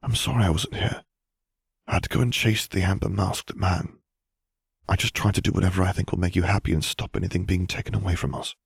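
A man voicing a gentle, affectionate young man in a soft, reassuring manner. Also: sits low, fairly even intonation, brisk, gravelly, husky, dry recording, clean studio sound, heavily acted. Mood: tender.

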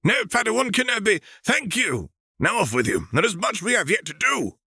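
A man's voice: high-pitched, very animated, moderate pace, vocal fry, bright, forceful tone, slightly rough, no room sound, clean studio sound, voice pushed up, exaggerated voice. A man voicing a stately reptilian alien Jedi master in a fan fiction reading, speaking formally and courteously. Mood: courtly, formal.

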